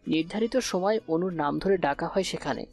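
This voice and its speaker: A woman's voice: lowish voice, moderate pace, darkish tone. A woman narrating a scripted romantic audio story. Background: faint background music.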